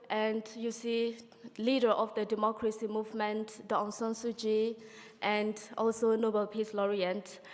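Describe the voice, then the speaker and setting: Young woman with a Karen accent, fairly high voice, slow, measured delivery. Conference speaker in a big reverberant hall. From Burma. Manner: slow, deliberate and earnest. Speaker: exiled activist speaker. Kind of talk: prepared conference speech.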